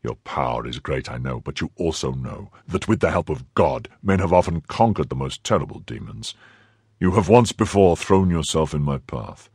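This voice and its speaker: A man voicing a stern, brooding nobleman who speaks slowly, lowly and menacingly in a quiet, husky voice. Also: animated, no echo, clean studio sound, exaggerated voice. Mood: coldly menacing. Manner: slow, low and menacing, quietly bitter. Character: stern brooding male nobleman, cold and grave.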